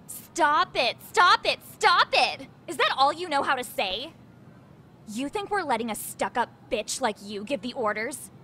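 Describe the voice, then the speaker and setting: A woman voicing a spiteful, domineering high school bully in a video game dub, sneering and taunting. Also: expressive, measured pace, sharp tone, slight background noise, full character voice. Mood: mocking, angry.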